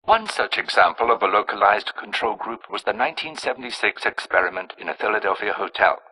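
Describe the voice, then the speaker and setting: Low, raspy, arrogant mad scientist. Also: male voice, very animated, brisk, sharp tone, heavily acted, rough recording. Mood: clinical, detached. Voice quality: raspy, hard-edged. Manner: clinical, precise, self-important. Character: cold, arrogant male scientist engineering plagues and alien pathogens. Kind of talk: scripted video game villain narration.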